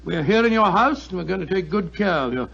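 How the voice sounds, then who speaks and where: Avuncular gentleman companion, bluff, earnest, high-pitched, gravelly creak, 1940s radio acting, dull sound. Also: animated, brisk, heavily acted. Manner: avuncular, earnest, easily amazed. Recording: muffled 1940s radio recording.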